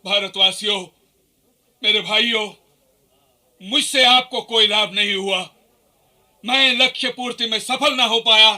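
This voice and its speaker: An actor playing an earnest, sorrowful Indian revolutionary, declaiming to a crowd in a radio drama. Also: male voice, high voice, steady tone, measured pace, long pauses, sharp tone, full character voice. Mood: earnest, impassioned. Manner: impassioned, declamatory oratory.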